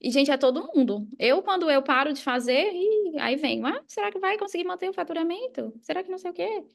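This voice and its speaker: Podcast guest: Brazilian woman, notably high pitch, gravelly fry, casual motivational coach delivery, emphatic. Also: lively intonation, fairly quick. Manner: emphatic, repeats key phrases, uses examples and acted quotes. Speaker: podcast guest, affiliate marketer and mindset coach.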